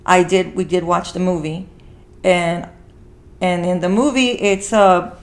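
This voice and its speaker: A woman's voice: on the low side, steady tone, measured pace. A woman hosting a podcast in casual conversation, rambling as she repeats and rephrases herself. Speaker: podcast host.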